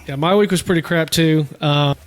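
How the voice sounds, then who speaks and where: A man co-hosting a casual podcast, easygoing and quick to laugh. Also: a little high. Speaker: podcast co-host.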